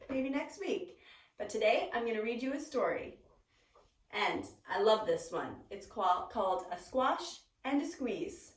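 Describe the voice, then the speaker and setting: A woman hosting story time, reading aloud expressively and speaking directly to young children in a teacherly way. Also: medium pitch. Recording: roomy, some distance from mic.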